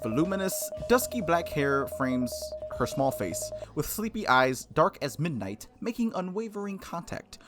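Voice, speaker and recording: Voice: medium pitch, sing-song, normal speed, few pauses. A let's play streamer reading a visual novel aloud, with casual, jokey asides and stumbles over words. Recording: music behind the voice. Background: background music.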